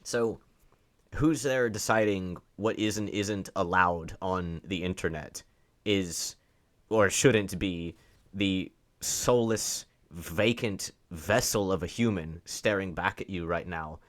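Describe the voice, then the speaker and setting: A man's voice: deep voice, slow. A man giving opinionated, off-the-cuff political commentary, emphatic and sardonic, stressing words for effect and posing rhetorical questions. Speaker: online commentator.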